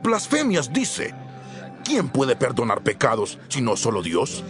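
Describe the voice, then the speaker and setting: An actor playing an indignant, accusing religious scribe, exclaiming scandalized questions in a dramatized scripture reading. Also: male voice, mid-range voice, huge pitch swings, measured pace. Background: background music.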